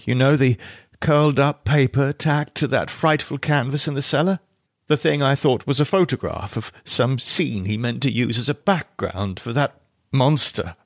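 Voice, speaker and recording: A man's voice: mid-range voice, moderate pace, no room sound, clean studio sound. A man narrating a first-person horror story in a theatrical, suspenseful audiobook manner. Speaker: podcast narrator reading horror fiction.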